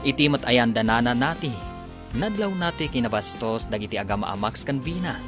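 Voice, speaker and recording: A man narrating as a radio drama announcer, in a scripted, emphatic, drawn-out dramatic delivery. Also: mid-range voice, lively intonation. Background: music.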